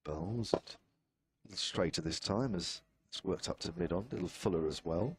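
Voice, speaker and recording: A man's voice: low-pitched, moderate pace, breathy edge. A man with a southern English accent doing live cricket commentary, wry and chatty. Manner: chatty, wry, self-deprecating. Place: outdoor commentary position at a cricket ground.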